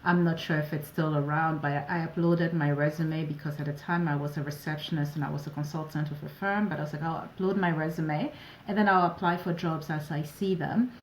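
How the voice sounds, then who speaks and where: A woman in her forties whose first language is French, from Ivory Coast and Ghana, telling stories lively as an interview guest. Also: sits low, fairly quick, a little hiss or hum. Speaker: guest, operations advisor. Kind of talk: casual interview answers. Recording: echoey room.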